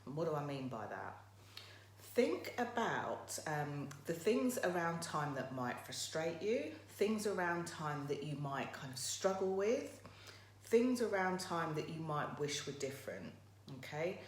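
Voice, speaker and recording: Woman w low croaky voice chatting to viewers on a motivational live, roomy echo. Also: sing-song, measured pace. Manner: chatty, addresses viewers directly. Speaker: motivational livestream host. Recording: roomy echo, faint background noise.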